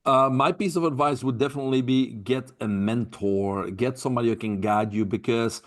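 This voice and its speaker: A man's voice: medium pitch, moderate pace. A man with a Belgian accent, a health mentor speaking earnestly as a podcast guest, often repeating words as he thinks. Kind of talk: podcast interview.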